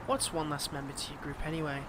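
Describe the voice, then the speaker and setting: A man's voice: on the high side, fairly even intonation, quick pace, noisy background, lightly acted. A man playing a smug, possessive fantasy villain in a roleplay audio drama, with a taunting, theatrical manner. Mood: smug, threatening.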